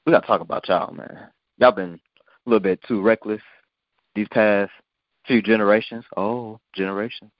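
A man's voice: lowish voice, fairly even intonation, moderate pace, no echo. A man hosting a podcast, talking in a blunt, provocative, preachy way. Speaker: podcast host. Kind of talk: casual podcast talk. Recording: dull lo-fi sound.

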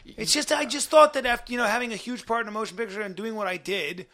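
A man's voice: high voice, fast talker, bright, forceful tone. A man in improvised comedy banter, whiny and indignant, pressing his friends with questions. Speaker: actor in a comedy sketch.

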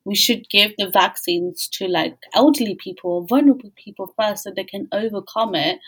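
A woman's voice: medium pitch, rough recording. A woman hosting a podcast, speaking in a casual, conversational interview style. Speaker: podcast host.

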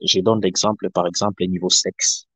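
A man from Africa calling into a live discussion, speaking politely with many hesitant pauses. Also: low-pitched, level tone, fairly bright tone, no echo. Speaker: guest caller.

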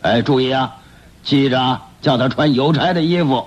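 An actor playing a ruthless, scheming, hot-tempered gang boss with a thick, hoarse voice, barking orders in a radio play. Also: male voice, medium pitch, moderate pace, close to an everyday voice. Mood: bossy. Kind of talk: theatrical radio drama acting. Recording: muffled lo-fi radio drama recording.

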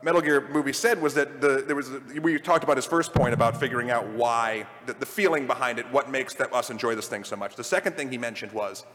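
A man's voice: medium pitch, fast talker, hardly any pauses, fairly bright tone. A man speaking as a panelist in a casual live discussion. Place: large convention panel room with microphones. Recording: very reverberant hall, live panel.

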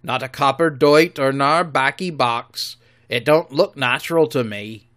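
A man voicing a bold, rough pirate sailor who talks bluntly and matter-of-factly. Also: mid-range voice, measured pace, a bit bright, close to an everyday voice.

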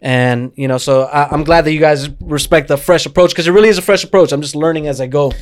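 A man of about thirty talking casually as an interview guest, projecting his voice in an animated, loud, sweary way. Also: fairly high pitch, fast talker. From Cuban background, Miami scene. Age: around 30. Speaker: guest, self-taught video creator and filmmaker. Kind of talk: casual conversational interview, storytelling.

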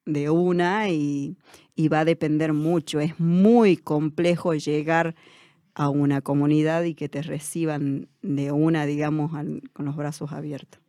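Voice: medium pitch, level tone, a little slow, dark tone, dry recording, clean studio sound. A person with an Argentine Spanish accent, speaking as an interview guest in a reflective way, with many fillers and restarts.

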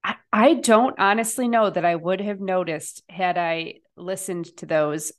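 A woman's voice: lowish voice, measured pace. A woman hosting a podcast, chatting casually and reflectively with her guest. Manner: warm backchanneling, laughs often, reflective. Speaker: podcast host.

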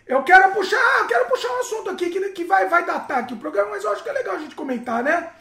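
A woman's voice: extremely high pitch, animated, fast, pushed and bright. A woman with a Brazilian accent hosting a casual chat, inquisitive and prompting the others. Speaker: talk show host. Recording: roomy echo.

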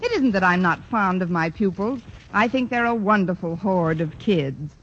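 A quick-witted schoolteacher delivers dry one-liners, as an actress plays her in a vintage radio comedy. Her pitch swings lively with each quip. The old broadcast is muffled and thick with hiss. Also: natural voice. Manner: dry wisecracking quips. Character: witty wisecracking female English teacher, adult. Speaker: schoolteacher lead. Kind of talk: 1940s radio sitcom acting.

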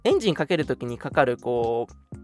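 Animated, quick-talking man telling stories. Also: medium pitch, soft background music. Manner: animated storytelling with vivid sound effects and acted quotes. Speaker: radio show host. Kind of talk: casual chat, telling anecdotes.

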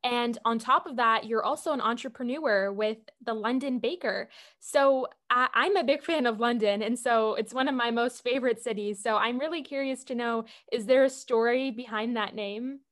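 A woman's voice: a little high, normal speed, a bit bright. A woman hosting a podcast, interviewing a guest and praising her in an upbeat, encouraging way. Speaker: podcast host.

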